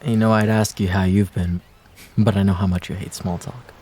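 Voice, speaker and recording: A man acting a wistful young ex-boyfriend in an intimate roleplay audio drama, speaking casually and hesitantly, with pauses and trailing phrases. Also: low voice, fairly even intonation, dark tone, slightly rough. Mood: wry, downcast.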